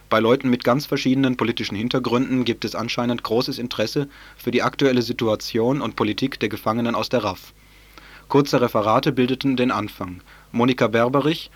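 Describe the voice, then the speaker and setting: A man presenting the news on a local radio station, reading a script in a measured, formal way. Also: medium pitch, slightly rough, no echo. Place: radio studio.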